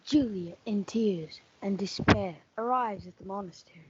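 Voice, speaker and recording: A woman's voice: medium pitch, normal speed. A young woman reading scripted narration for a stage play. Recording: muffled recording, clear noise and clicks.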